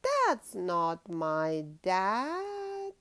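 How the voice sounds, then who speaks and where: A woman voicing an eager young female polar bear in a storybook, exclaiming with drawn-out words. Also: medium pitch, wildly swooping pitch, full character voice. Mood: emphatic. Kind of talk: expressive picture-book read-aloud.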